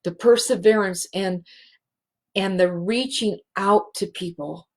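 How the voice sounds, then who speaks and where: A woman hosting a spiritual show, speaking in a halting, emotional way and close to tears. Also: medium pitch, darkish tone. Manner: slow, halting and emotional, many restarts. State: teary. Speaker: show host, healing facilitator. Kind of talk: heartfelt spiritual show monologue.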